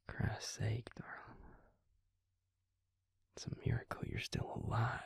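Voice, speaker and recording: A man playing a gentle, concerned Southern vampire confidant in an intimate audio roleplay, speaking in a hushed, halting, husky voice with a Southern US accent, close to the mic. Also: dry recording, full character voice. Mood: concerned, probing, then reassuring. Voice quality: breathy, husky, gravelly low voice. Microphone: close mic, dry sound.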